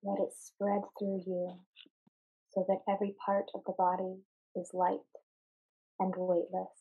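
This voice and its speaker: A woman leading a guided meditation in a calm, soothing, soft voice, speaking in short phrases with pauses between them. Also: mid-range voice, breathy edge, narrow, tinny audio.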